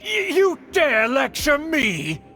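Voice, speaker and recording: An actor playing a haughty, indignant middle-aged noble uncle in a video game, with a pompous, imperious, theatrical delivery. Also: male voice, very high voice, huge pitch swings, slow pace, sharp tone, exaggerated voice. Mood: furious. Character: haughty, indignant aristocratic uncle, a scheming clan schemer. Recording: game music behind the voice.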